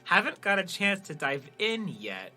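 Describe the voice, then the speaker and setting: A man with a very bright, sharp voice talks casually as a podcast host. He reads reviews and banters in a joking, self-effacing manner. Music plays faintly underneath. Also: high voice, very animated, a little slow. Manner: jokey, self-deprecating, laughs often.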